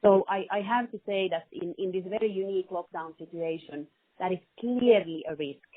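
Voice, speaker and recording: Narrowband phone line, dry sound, woman executive, careful measured answers, conference call Q&A. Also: fairly low pitch, no echo. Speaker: company CFO answering analysts on a conference call. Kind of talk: spontaneous business Q&A on an earnings call. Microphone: telephone.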